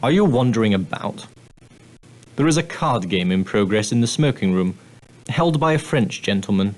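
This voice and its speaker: An actor playing a straight-laced, proper ocean-liner steward in a video game, speaking formally and deferentially with precise, politely dry phrasing. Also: male voice, fairly low pitch, lively intonation, normal speed, lightly acted, rough recording. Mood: discreet, formal. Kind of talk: scripted game dialogue, giving guidance.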